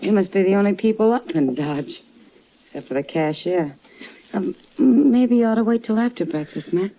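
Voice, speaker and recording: Lady acting a easygoing american west woman, animated pitch, muffled old radio show. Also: moderate pace, natural voice. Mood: wry, easygoing. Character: wry, easygoing frontier woman. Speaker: radio actor. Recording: muffled, noisy vintage radio recording.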